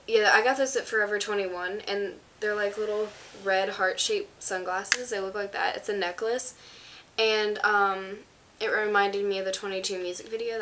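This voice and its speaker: A woman's voice: medium pitch, pushed and bright. A teenage girl with a stuffy, congested voice, chatting to camera in her bedroom as she shows off items in a rambling, casual way. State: congested, under the weather. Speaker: vlogger. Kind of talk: casual product show-and-tell to camera. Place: small bedroom indoors. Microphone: camera on a stand in front of her.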